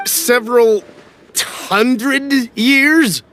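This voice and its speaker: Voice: mid-range voice, very slow, fairly bright tone, soft background music. An actor voicing an anxious, overcautious merchant in a video game, flustered, wordy and nervously polite. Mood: worried, wary. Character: anxious, overcautious merchant buyer, penny-worried and apologetic.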